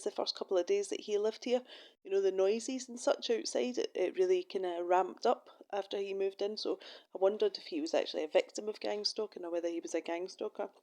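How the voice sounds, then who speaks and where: A woman's voice: medium pitch, darkish tone. A middle-aged Scottish woman talking casually to camera from her room in a shared house. She is wry and profane and often restarts her sentences. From Scotland. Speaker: vlogger.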